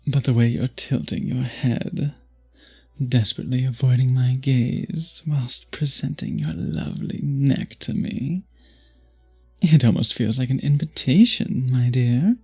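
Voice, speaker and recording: A man voicing a charming, seductive vampire in a romantic ASMR roleplay, husky and breathy with a courtly, teasing manner, often dropping to a whisper. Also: medium pitch, mellow, soft background music. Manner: slow, courtly, teasing, flirtatious. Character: charming, seductive male vampire at a masquerade ball.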